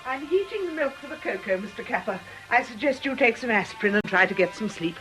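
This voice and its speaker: A British actress playing a brisk, sensible, ageing nun in a radio drama, articulate and gently scolding. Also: female voice, fairly high pitch, expressive, soft background music, poor audio. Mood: brisk, practical. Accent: British English. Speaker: actor.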